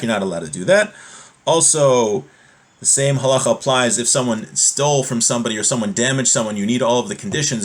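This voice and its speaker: A man's voice: mid-range voice, fairly bright tone. A man with a mid-range voice teaches a class on religious law. He works through a text and explains it didactically, often restating and rephrasing his points. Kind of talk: explanatory text study, reading passages aloud then explaining.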